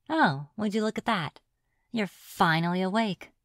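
A woman's voice: medium pitch, smooth, no echo, studio quality. A woman playing a reclusive, flirtatious witch in an ASMR fantasy roleplay, with a playful, mocking manner. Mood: gloating. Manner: playful, mocking, drawn-out taunting. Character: reclusive, teasing, flirtatious witch of the deep woods.

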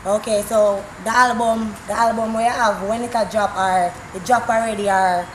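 A woman's voice: medium pitch, fairly even intonation, normal speed, reverberant, a little hiss or hum, rough recording. A young woman with a Jamaican accent hosting a chatty, joking interview outdoors at night. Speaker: host. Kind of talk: casual interview. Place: outdoors at night by a parking lot.

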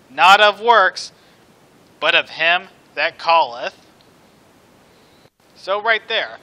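Guy giving a church sermon, really sharp forceful voice, on the high side, earnest. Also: poor audio. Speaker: preacher, church elder. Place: indoors at a church pulpit.

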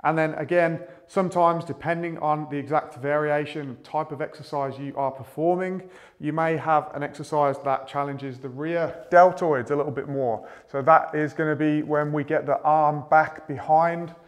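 Man, thirties, slightly high voice, fitness coach, measured instructional delivery, methodical. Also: steady tone. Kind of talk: instructional explanation to camera. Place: small indoor room with a whiteboard.

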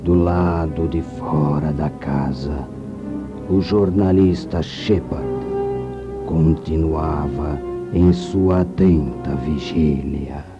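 A man's voice: a bass voice, fairly even intonation, drawn-out words, music in the background, full character voice. A man playing a solemn narrator of a comic radio play, reading dramatic scripted narration. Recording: dull lo-fi radio recording.

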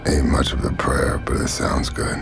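An actor playing a menacing, calm convict antihero in a video game, terse and deadpan, with a gravelly voice. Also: male voice, normal speed, music in the background, natural voice. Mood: grim, solemn. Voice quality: very deep gravelly voice. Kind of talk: cinematic video game acting.

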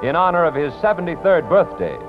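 A dull, old newsreel recording with music playing strongly behind an American man narrating. His delivery is solemn and dramatic, the pitch on the high side in classic 1940s announcer fashion. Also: very animated, brisk. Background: loud orchestral music.

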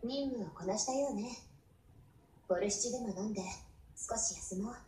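Casting: actress as devoted young anime game heroine, soft, caring, breathy, comforting her commander; roomy screen playback with faint noise. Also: a little high, normal speed, lightly acted. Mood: gentle, caring. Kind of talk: Japanese anime game voice lines.

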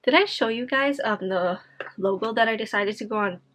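A woman's voice: on the high side, a little fast, fairly bright tone, slight background noise. A tired young woman vlogging casually to camera from her home office, chatty and rambling with many fillers. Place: home office room, indoors.

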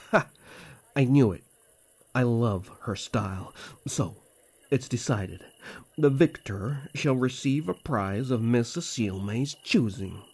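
A mischievous young elf prince in a fantasy roleplay, acted by a man. His voice sits at a middling pitch with a husky edge. He talks slowly, cajoling and teasing in a courtly way. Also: a little mellow, heavily acted. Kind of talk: fantasy audio roleplay acting.

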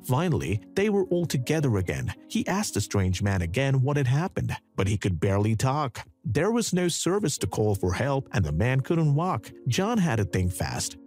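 A man narrating a dramatic true story in a scripted voice-over. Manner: dramatic storytelling with animated pitch. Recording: narration over background music.

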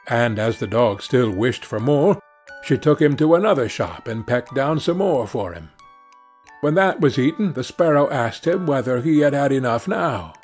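A man narrating a fairy tale as a scripted audiobook, in a measured, expressive storytelling manner. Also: mid-range voice, soft background music.

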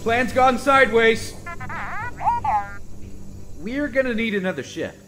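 Man acting a cocky, high-pitched young rebel pilot. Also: animated, slow pace, loud music behind the voice, exaggerated voice. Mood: urgent. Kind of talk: sci-fi audio drama acting.

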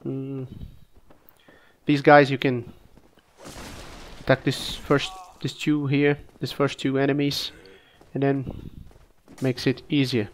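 Man, under the weather, coughing, easygoing halting gameplay commentary. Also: medium pitch, slight background noise. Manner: relaxed, halting, frequent restarts and fillers. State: sick, coughing. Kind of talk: casual game commentary.

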